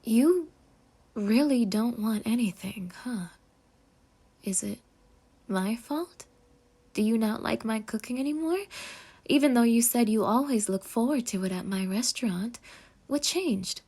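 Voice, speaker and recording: A sweetly obsessive girlfriend, acted by a woman for an intimate roleplay audio. Her voice sits fairly high and has a breathy, husky edge. She coaxes with saccharine devotion and dramatic, half-whispered asides. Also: unhurried, pauses often, a little mellow. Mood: hurt, pleading. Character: sweetly possessive, obsessed yandere girlfriend, a chef. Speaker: ASMR voice actor.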